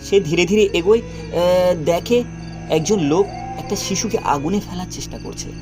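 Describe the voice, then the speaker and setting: A man narrating a scripted dramatic story in short, suspenseful phrases with pauses. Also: high-pitched, music in the background.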